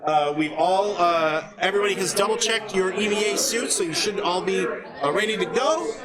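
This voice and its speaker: A man running a live tabletop game as game master, explaining rules in halting phrases. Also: high-pitched. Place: live convention event, indoors. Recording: loud crowd noise behind.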